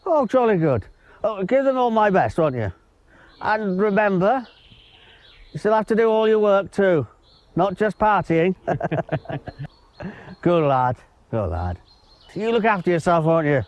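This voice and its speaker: A man's voice: sits high, very animated, unhurried, pauses often, darkish tone, muffled recording. An actor playing a kindly, effusive middle-aged male schoolteacher making small talk in a film, often repeating himself. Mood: cheery. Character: chirpy, kindly older male former schoolteacher.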